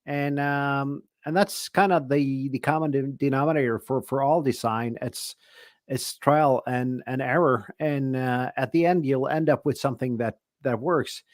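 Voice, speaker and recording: A middle-aged Norwegian man hosting a relaxed radio-hobby live stream. He talks thoughtfully in a mid-range voice, often restarting his phrases. The sound is dry and close, with no room around it. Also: mid-range voice, measured pace, no echo. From Norway. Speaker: ham radio show host. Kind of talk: casual live-stream chat.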